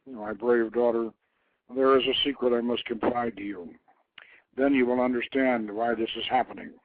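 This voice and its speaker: A man's voice: mid-range voice, fairly even intonation, moderate pace, no room sound, natural voice. A man voicing a weary, grieving king, solemn and confiding, in a storybook reading. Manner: sorrowful, solemn. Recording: tinny phone-like internet radio audio.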